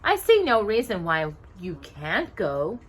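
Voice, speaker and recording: A woman voicing a crafty, controlling stepmother in a storybook, speaking in a cool, deliberate, sly way. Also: medium pitch, wildly swooping pitch, heavy background noise.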